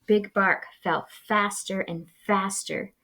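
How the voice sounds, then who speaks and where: A woman reading a children's storybook dramatically and expressively, with deliberate pauses and hushed moments. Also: medium pitch, fairly even intonation. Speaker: school librarian reading aloud.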